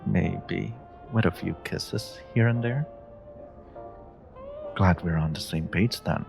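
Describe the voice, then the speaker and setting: Man acting a flirty male stranger, very low gravelly voice, teasing murmur, romantic audio roleplay. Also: slow, over music, exaggerated voice. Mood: playful, flirty.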